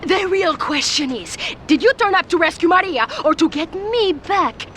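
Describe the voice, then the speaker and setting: Sharp, high, taunting cartel villainess. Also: female voice, expressive, moderate pace, a little hiss or hum. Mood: taunting, vicious. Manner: taunting, menacing. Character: treacherous, mocking female cartel villain. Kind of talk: video game cutscene acting.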